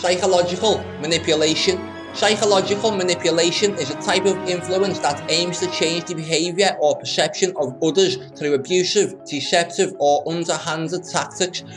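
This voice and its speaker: A man's voice: high voice, steady tone, moderate pace, rough recording. A man in his thirties giving blunt, scornful scripted commentary in the manner of an opinionated host. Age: 30s. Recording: music playing under the voice.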